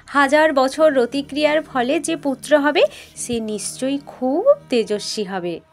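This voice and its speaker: A woman narrating a scripted mythological tale as a storyteller. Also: high-pitched.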